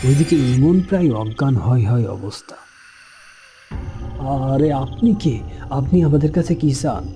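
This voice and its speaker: A man's voice: mid-range voice, lively intonation, moderate pace, over music, lightly acted. A man playing a frightened, wary young man who narrates and speaks in a horror cartoon with dramatic suspense.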